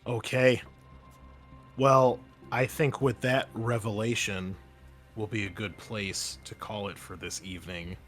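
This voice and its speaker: A man running a tabletop roleplaying game as host, narrating casually in a halting, conversational way. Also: fairly low pitch, little pitch movement, fairly bright tone, faint music behind. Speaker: game master and podcast host.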